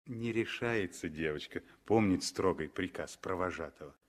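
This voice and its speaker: A man narrating a 1960s radio drama with dramatic, deliberately phrased storytelling. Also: deep voice.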